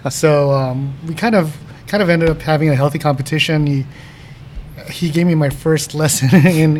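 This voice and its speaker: A man in his forties, a guest artist on a podcast, talking casually with lots of laughs and ums. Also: medium pitch. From San Diego, California. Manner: relaxed, laughs often, trails off with ums. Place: comics shop cafe. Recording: faint ambient noise in a cafe.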